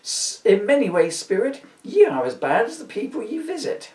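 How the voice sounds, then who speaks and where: A man puts on an indignant, hard-bitten miser in a comic audiobook, theatrical and querulous with old-fashioned phrasing. The pitch leaps about a great deal, and the room sounds echoey, with the microphone at some distance. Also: big pitch swings, normal speed, darkish tone. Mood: questioning, insistent.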